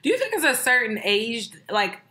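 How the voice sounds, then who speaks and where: Female podcast host, twenties, higher-pitched and bright, chatting casually. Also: big pitch swings, moderate pace. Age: 20s. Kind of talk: casual group chat.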